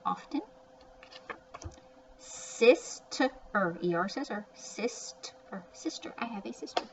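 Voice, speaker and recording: A woman's voice: a little high, lively intonation, takes it slowly. A woman teaching young children to read, sounding out and repeating words with chatty asides, in a word-by-word phonics lesson. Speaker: early reading teacher. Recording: distant mic, muffled, faint background noise.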